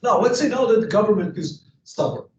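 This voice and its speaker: Guy lecturing a class, emphatic, rhetorical questions, dark-toned voice, dull distant echoey recording with faint rumble. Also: animated, fairly quick. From Serbia. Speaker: economics lecturer.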